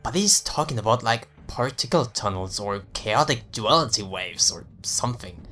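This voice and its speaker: A man playing a young American slacker friend in an audio story, sarcastic, slangy and excitable. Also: lowish voice, moderate pace, soft background music. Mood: dismissive, amused. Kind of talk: dramatized audio story dialogue.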